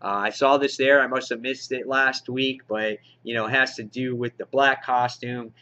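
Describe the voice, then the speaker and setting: A man's voice: mid-range voice, steady tone, moderate pace, sharp tone. A man hosting a casual, unscripted review vlog, talking to his viewers with an enthusiastic fan's manner. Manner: enthusiastic fan talk, addresses viewers directly. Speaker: comic book reviewer, channel host. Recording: dull, lo-fi home recording.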